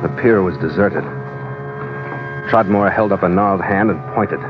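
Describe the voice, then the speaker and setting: An American man acting an easygoing sea captain who narrates his adventures in measured, vivid, dryly understated old-time radio style. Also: low voice, lightly acted. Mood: grim, tense. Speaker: lead actor and narrator. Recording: muffled vintage radio recording. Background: loud dramatic music.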